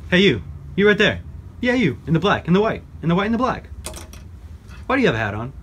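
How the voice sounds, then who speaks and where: A man playing a brash, pushy, insulting pitchman in a comic radio advertisement, loud and badgering. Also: sing-song, fast, a little hiss or hum. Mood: pushy, excitable.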